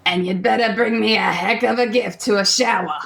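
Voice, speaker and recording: An actress playing a wary, tough records insider in a radio play, with a blunt, folksy, wry manner. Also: female voice, medium pitch, a little fast, gravelly, fairly bright tone. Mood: firm, wary.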